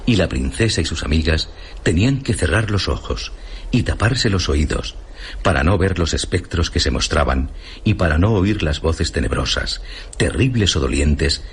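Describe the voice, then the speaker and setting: An actor playing the storyteller of a fantastical tale, narrating dramatically in Castilian Spanish for radio theatre. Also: male voice, animated, normal speed, a little hiss or hum. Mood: dramatic, ominous. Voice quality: deep.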